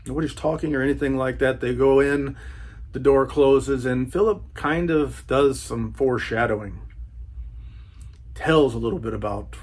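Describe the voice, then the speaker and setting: A man's voice: mid-range voice, moderate pace. A man giving a casual book review as a channel host, enthusiastic, with a laid-back, slangy way of talking.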